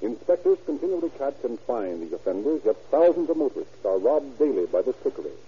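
Formal 1930s radio announcer, tinny. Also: male voice, mid-range voice, very animated, a little fast, breathy edge. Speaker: narrator and announcer. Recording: tinny old radio recording with faint hiss.

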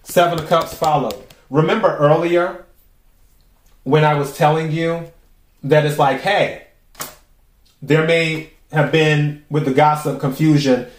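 A man with a raspy voice hosting a podcast, giving a casual, chatty tarot reading and musing aloud with drawn-out pauses. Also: a little high, fairly even intonation. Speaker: tarot reader and podcast host. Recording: roomy echo.

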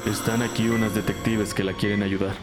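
An actor playing a protective hospital doctor in an audio drama, speaking in a measured, cautious way. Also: male voice, fairly low pitch, little pitch movement, over loud music, natural voice. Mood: calm, concerned.

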